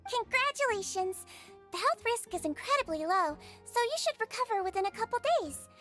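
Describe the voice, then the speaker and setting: A woman voicing a gentle, caring small female nurse in an anime game, soothing and polite with a doting bedside manner. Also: very high-pitched, lively intonation, fairly quick, faint music behind. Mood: reassuring.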